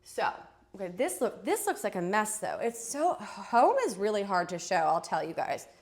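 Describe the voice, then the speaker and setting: A woman in her thirties hosts a casual live shopping stream, sounding nasal and stuffed up from a head cold. She chats in an easy, joking way and pokes fun at herself as she goes. Her pitch sits in a middle range for a woman. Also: normal speed. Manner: chatty, jokey, self-teasing, lively swings in pitch and loudness.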